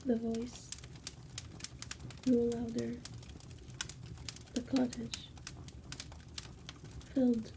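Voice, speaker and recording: Hushed, very slow woman storyteller. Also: fairly high pitch, completely flat delivery, vocal fry, background noise. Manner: hushed, slow, dramatic pauses. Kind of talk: whispered ASMR bedtime story narration.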